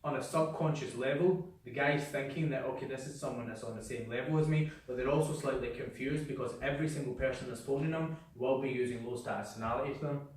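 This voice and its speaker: A man's voice: medium pitch, fast talker, few pauses, darkish tone. A man giving a blunt, sweary sales training talk to a small group, acting out how people sound. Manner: blunt, swears freely, acts out voices. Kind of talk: workshop talk. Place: indoor room with a small group. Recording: echoey room, mic far off.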